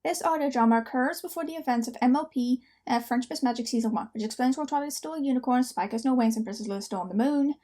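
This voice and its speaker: A woman's voice: high voice, fast, few pauses, dark tone. A woman hosting a reaction video in a casual, rambling, self-deprecating way. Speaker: host of reaction video, amateur voice actor. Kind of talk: casual chatty reaction commentary.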